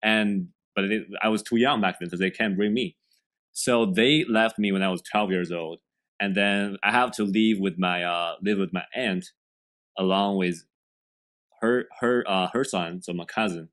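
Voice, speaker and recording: Deep-voiced young man with a Chinese accent. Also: fairly bright tone. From China. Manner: halting, many fillers and restarts, earnest. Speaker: guest. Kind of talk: podcast interview.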